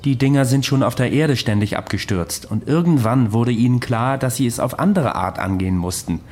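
Man acting confident explainer, middle-pitched voice. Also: a little fast, a little mellow, natural voice. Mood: knowing. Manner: confident, didactic. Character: insistent male conspiracy believer, knowing and explanatory. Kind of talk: radio play dialogue.

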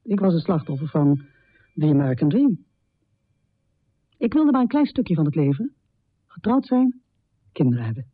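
A woman's voice: sits low, sing-song, moderate pace, long pauses, breathy edge, dry recording, narrow, tinny audio, full character voice. An actress playing a troubled housewife poet in her thirties, speaking an intimate, confessional radio drama monologue in dramatic phrases. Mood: haunted, reflective. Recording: thin, band-limited radio recording.

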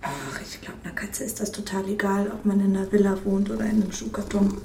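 A woman's voice: mid-range voice, fairly even intonation, fast talker, gravelly, recorded from a distance, lightly acted. A woman acting a blunt, casual date with a dry, teasing streak in a naturalistic audio drama. She sounds a little away from the mic in a roomy space with some background noise. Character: frank, teasing woman astrophysicist on a first date.

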